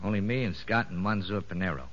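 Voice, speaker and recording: An actor playing a shrewd, streetwise convict ringleader in a 1950s radio drama, sly and slangy. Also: male voice, sits low, fast talker, fairly bright tone, a little hiss or hum. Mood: sly, confiding. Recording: echoey, dull vintage radio recording.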